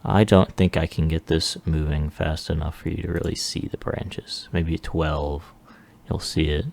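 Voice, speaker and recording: Low, creaky-voiced man rambling through a demo. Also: steady tone, normal speed, darkish tone. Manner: rambling, self-deprecating, chuckles at own remarks. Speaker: 3D artist presenting a demo. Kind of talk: casual unscripted screen-recording walkthrough.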